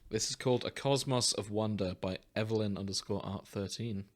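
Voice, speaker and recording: A man with a fairly low voice chats as a podcast host. His delivery is casual and enthusiastic, full of joking banter with his co-hosts. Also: fairly quick.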